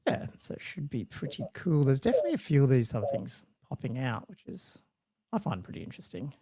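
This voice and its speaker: A man's voice: mid-range voice, very animated, quick pace, mellow, husky, dry recording. A man with an Australian accent, hosting a chatty tabletop gaming podcast in a rambling, laughing way. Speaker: podcast host. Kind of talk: casual podcast chat.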